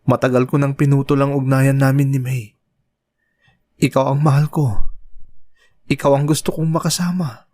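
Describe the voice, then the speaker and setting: A man's voice: medium pitch, steady tone, moderate pace, long pauses, darkish tone, no room sound, lightly acted. A man playing a remorseful unfaithful husband who pleads for forgiveness. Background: music.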